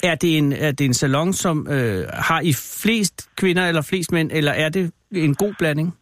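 A man's voice: on the high side, a bit bright. A man hosting a casual radio interview, feeling his way through questions with hesitant phrasing and teasing asides. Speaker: radio host interviewing a caller. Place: radio studio.

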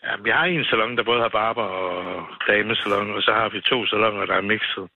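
A man's voice: fairly low pitch, vocal fry, dry recording. A man giving plain, matter-of-fact answers as a business owner interviewed over a tinny phone line. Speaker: hair salon owner, interview guest. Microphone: telephone.

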